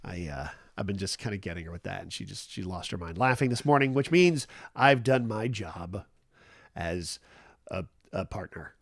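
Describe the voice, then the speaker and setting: A man's voice: low-pitched, lively intonation, normal speed, creaky, no echo. Guy hosting a chill morning stream, stuffy nasal cold voice, rambly, dry close sound. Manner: rambling and easygoing, many fillers and restarts. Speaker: livestream host. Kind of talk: casual morning chat.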